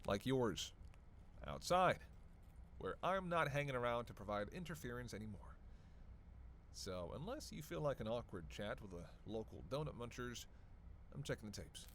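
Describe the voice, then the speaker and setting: An actor playing a reluctant, sardonic vampire in an audio roleplay, sarcastic and grumbling, swearing freely. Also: male voice, mid-range voice, very animated, moderate pace, fairly bright tone, slight background noise. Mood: dry, sarcastic. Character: sardonic, reluctant male vampire, hungry, cursing, teasing. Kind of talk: solo audio roleplay addressed to the listener.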